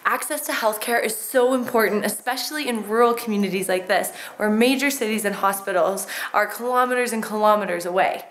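A woman's voice: medium pitch, fairly quick, hardly any pauses, fairly bright tone. A young woman speaking to a handheld camera, explaining earnestly what the mission does. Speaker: team member on a learning trip. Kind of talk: informative vlog narration.

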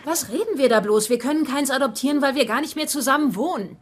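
An actress in a dubbed sitcom playing a sharp, assertive wife with a pointed, insistent way of talking. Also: female voice, high-pitched, quick pace, a faint crowd, lightly acted. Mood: frank. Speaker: dub actor in a sitcom.

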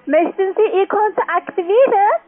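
A woman with a high voice makes a sales call over a tinny phone line. She is a non-native speaker with a foreign accent, talking like a call-centre agent. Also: moderate pace. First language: not German. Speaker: call-centre account manager.

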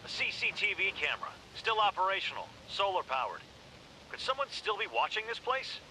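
A man's voice: high-pitched, big pitch swings, fairly quick, pushed and bright, exaggerated voice, rough recording. A man voicing a survivor squad soldier in a game, giving clipped reports to his commander. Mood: urgent report. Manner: clipped military callouts. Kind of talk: game voice lines. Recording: game audio with background noise.

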